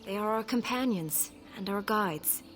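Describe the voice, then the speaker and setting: An actress playing a gentle, devoted elven herder in a fantasy game, speaking in a measured, earnest, formal way. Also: female voice, medium pitch, a little hiss or hum, close to an everyday voice. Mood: proud, gentle. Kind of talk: fantasy game dialogue acting.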